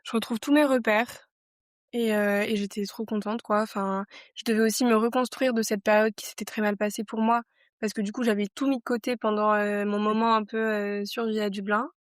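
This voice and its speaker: 20-something french woman on a podcast, thinking out loud, lots of euh, dry mic. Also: medium pitch, flat delivery, fairly quick, no room sound. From France. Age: 20s. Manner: reflective, with many fillers and restarts. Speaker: podcast guest. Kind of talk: casual podcast interview.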